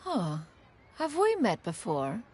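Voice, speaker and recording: A woman's voice: fairly high pitch, wildly swooping pitch, takes it slowly, darkish tone, exaggerated voice. A woman voicing a dignified, proud immortal adept in an anime game, speaking in a lofty, formal, archaic manner. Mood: intrigued. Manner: lofty, archaic, formal, calls self "one". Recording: music playing behind the voice.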